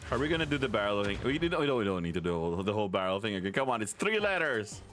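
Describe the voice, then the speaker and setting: A man's voice: on the low side, sing-song, very fast, fairly bright tone, soft background music. A man doing casual let's play commentary, wry and often muttering as he thinks things through.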